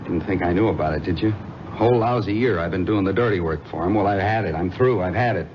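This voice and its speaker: An actor playing a cornered, resentful car thief who turns informer, blurting defiant slangy complaints in a 1950s radio drama. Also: male voice, deep voice, fast talker, close to an everyday voice. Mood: bitter, fed up. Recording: noisy, muffled 1950s radio recording.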